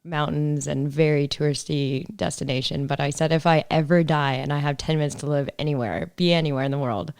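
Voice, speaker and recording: A woman from Canada, a motorcycle racer, telling stories in casual chat, upbeat and slightly hoarse. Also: deep voice, fairly even intonation, brisk, few pauses, darkish tone, dry recording, clean studio sound. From Canada, southern Alberta. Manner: upbeat storyteller, laughs often. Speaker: guest, supermoto racer.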